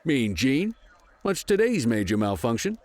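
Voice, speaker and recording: An actor playing an easygoing, wisecracking middle-aged American police officer mentoring a rookie, folksy and wry in a video game. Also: male voice, medium pitch, big pitch swings, a little slow, a little mellow. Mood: upbeat. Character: easygoing, wisecracking veteran male street cop mentoring a rookie. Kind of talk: video game cutscene acting.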